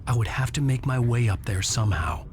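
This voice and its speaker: Game lead for a male actor: wry, dogged writer, mid-range voice with very lively pitch movement, loud score underneath. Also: fairly quick, heavy background noise, close to an everyday voice. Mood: wry, determined. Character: embattled, wry male writer hero trapped in nightmare. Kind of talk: first-person noir narration and game dialogue. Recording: loud music behind.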